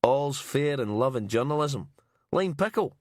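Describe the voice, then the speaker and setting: A man's voice: mid-range voice, expressive, a little slow, dry recording, studio quality, pitched above the performer's own voice, natural voice. A man voicing a vain, pushy freelance reporter. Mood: wheedling.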